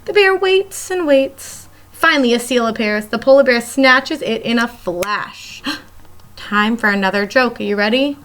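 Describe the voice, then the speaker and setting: Female elementary teacher, higher-pitched voice, read-aloud for young kids, explains simply and addresses listeners directly. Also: measured pace, a bit bright. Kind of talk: read-aloud of a children's nonfiction book.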